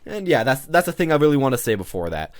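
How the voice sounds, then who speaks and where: A man's voice: medium pitch, big pitch swings, fast talker. A man giving casual, unscripted gaming commentary as a video host, rambling and often repeating himself. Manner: rambling, repeats himself, peppers talk with "you know".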